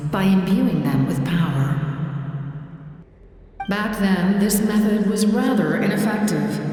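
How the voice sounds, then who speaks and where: An ancient female angelic spirit speaks slowly through a huge, long reverb. She is solemn and formal, a game character who rules over souls. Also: mid-range voice, darkish tone. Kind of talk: scripted game voice acting. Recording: cavernous echo with long reverb.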